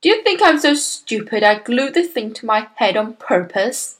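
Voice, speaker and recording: Woman putting on a loud, indignant blustering father. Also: fairly high pitch, animated, normal speed, natural voice. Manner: shouting, spluttering indignantly. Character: loud, irate, blustering middle-aged father.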